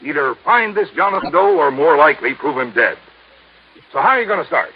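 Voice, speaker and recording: A very rough, husky voice through dull old radio sound: a folksy American insurance agent. He is talkative and familiar, his pitch moving freely as in 1950s radio drama. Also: sing-song, fairly quick. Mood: earnest explaining. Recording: muffled vintage radio recording.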